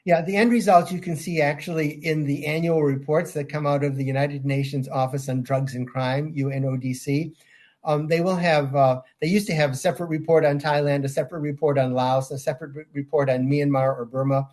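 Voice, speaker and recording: An older man answering interview questions in a measured way, with frequent ums and restarts. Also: on the high side, fairly even intonation, darkish tone. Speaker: guest, safeguards auditor.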